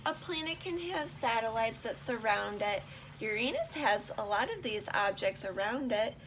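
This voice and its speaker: A woman reading a rhyming picture book aloud to young children, pausing to explain and put simple questions to her listeners. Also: a little high, sing-song, fairly bright tone, a little hiss or hum, low-quality recording.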